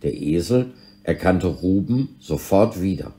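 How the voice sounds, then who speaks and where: A man reading a scripted storybook narration in a measured, expressive way. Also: deep voice. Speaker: volunteer reader of an audio story.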